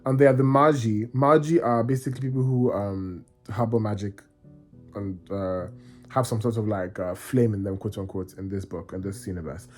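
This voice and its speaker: Excitable man gushing about books. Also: fairly low pitch, moderate pace, faint music behind. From Nigeria. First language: Yoruba. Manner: excitable, gushing, rambling with restarts. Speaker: book vlogger. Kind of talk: casual reading vlog chat.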